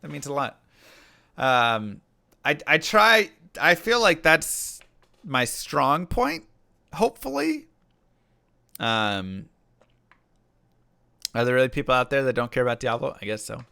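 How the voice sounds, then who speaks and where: A man's voice: mid-range voice, big pitch swings, a little slow, gravelly, long pauses, bright, forceful tone, no room sound, studio quality. A man streaming live, casually answering chat questions and rambling with fillers and restarts. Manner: rambling, lots of fillers and restarts, reads chat messages aloud. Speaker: streamer and rapper.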